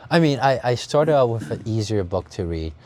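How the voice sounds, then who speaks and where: A man's voice: mid-range voice, expressive, moderate pace, a little mellow, a little hiss or hum. A man who grew up in Taiwan, a podcast guest earnestly explaining his investing approach, often adding "you know" and "right?". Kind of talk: podcast interview.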